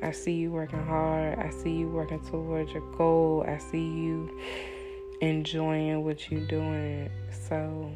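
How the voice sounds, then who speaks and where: A woman hosts her own podcast in a hushed, deep, slow voice, keeping it low so the baby stays asleep. She talks candidly and casually, in an even tone with little rise and fall. Plucked guitar music plays clearly underneath. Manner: talking low and soft so as not to wake a baby; relaxed, candid, with fillers and some swearing. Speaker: podcast host. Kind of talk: casual solo podcast monologue.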